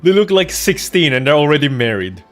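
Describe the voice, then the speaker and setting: A man commentates on a game in a casual, blunt way. His pitch moves around in a lively, animated manner. Faint music plays behind him. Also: brisk. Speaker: streamer playing and reading out a game.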